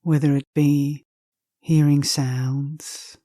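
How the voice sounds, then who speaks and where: A woman leading a guided meditation, speaking gently and softly in unhurried phrases with long pauses, with some breathiness. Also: sits low, flat delivery, no room sound, clean studio sound. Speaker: meditation teacher.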